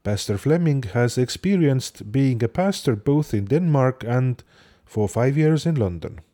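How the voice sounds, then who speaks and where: A man who hosts and narrates, asking questions in a measured, gentle way. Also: mid-range voice, expressive. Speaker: podcast host and interviewer.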